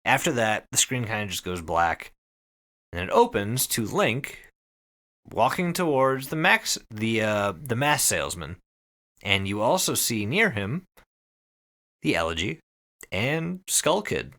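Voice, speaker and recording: A man hosting a podcast, telling a story casually to friends in an excited, conversational way with some swearing. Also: on the low side, measured pace, pauses often. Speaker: podcast host.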